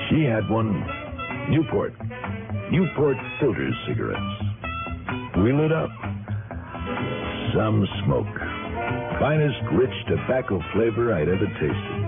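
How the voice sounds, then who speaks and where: A man delivering a 1960s radio advert as clipped hard-boiled storytelling in short phrases. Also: lowish voice. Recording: tinny old radio recording. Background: guitar music.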